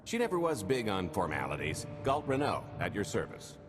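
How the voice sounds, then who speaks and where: An actor playing a cocky, smooth-talking alien scoundrel in a video game cutscene, with a wry, confident manner. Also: male voice, on the low side, wildly swooping pitch, normal speed, over music. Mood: sly. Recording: game score behind the voice.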